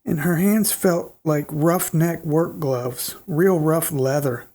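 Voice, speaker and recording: A man with a congested, nasal voice hosting a show and reading listener encounter stories aloud in a steady, plain way. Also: a little high, takes it slowly. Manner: steady, plain reading pace with small restarts. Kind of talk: storytelling narration.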